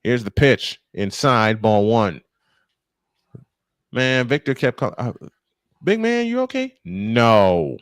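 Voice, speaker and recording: Animated male baseball announcer, dry sound. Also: medium pitch, slow pace, pauses often, no room sound. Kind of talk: live baseball commentary mixed with casual chat.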